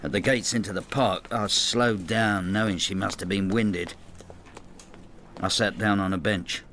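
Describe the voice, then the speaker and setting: A man's voice: sits low, a bit bright, slight background noise. An actor playing a brooding, resentful older man in a radio drama, confiding in an intimate, reflective way. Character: brooding older Frenchman, resentful, inward, estranged husband. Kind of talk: radio drama, intimate first-person narration and dialogue.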